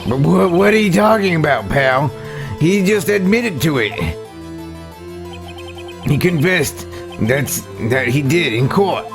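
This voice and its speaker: Man putting on a blustery, creaky-voiced detective. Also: fairly high pitch, expressive, slow pace, pauses often. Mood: flustered protest. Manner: exclamatory, incredulous, stammering. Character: blustery, big-hearted, dim male police detective, distraught. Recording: loud game music underneath. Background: video game music.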